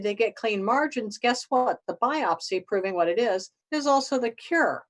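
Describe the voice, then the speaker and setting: Emphatic woman lecturing informally. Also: mid-range voice. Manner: emphatic, conversational, plain-spoken. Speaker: biology professor. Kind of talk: informal classroom lecture on anatomy.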